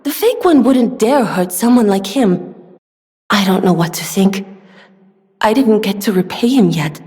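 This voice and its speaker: A young woman voicing a gentle, earnest, polite weapons smith in a video game, soft-spoken and given to sighs. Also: mid-range voice, expressive, measured pace, natural voice. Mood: wistful, curious. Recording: long hall reverb.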